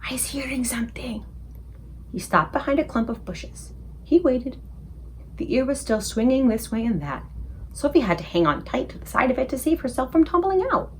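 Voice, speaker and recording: A woman reading a children's book aloud as an expressive storyteller for a book club. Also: sits high, normal speed, a little hiss or hum.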